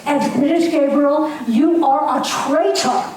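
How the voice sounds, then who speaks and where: A woman's voice: high-pitched, slow pace, darkish tone. An older woman acting a spirited young Irish nationalist in an amateur stage reading, earnest and needling. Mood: fervent. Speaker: community theater actor. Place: community stage hall. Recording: echoey hall, mic far off, faint hiss.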